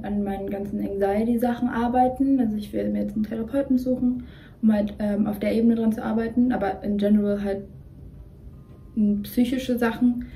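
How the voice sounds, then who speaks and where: Young female co-host, flat mellow delivery, casual rambling with English loanwords. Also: fairly high pitch, a little hiss or hum. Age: young adult. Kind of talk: casual chat. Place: dim bedroom, indoors.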